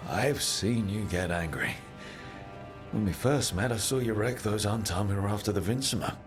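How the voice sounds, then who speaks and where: An actor playing a supportive, reassuring leader of an adventuring team in a video game. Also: male voice, on the low side, normal speed, lightly acted. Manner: reassuring, encouraging. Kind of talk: video game cutscene acting. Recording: music behind the voice.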